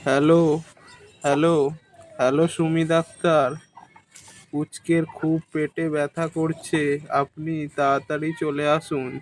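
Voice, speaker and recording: A woman's voice: low voice, level tone, measured pace, long pauses, voice pushed down, low-quality recording. A woman voicing a worried, soothing father in a puppet story, speaking in simple reassuring phrases. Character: worried, soothing young father of a sick child. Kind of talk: puppet storybook play-acting. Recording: clear background noise.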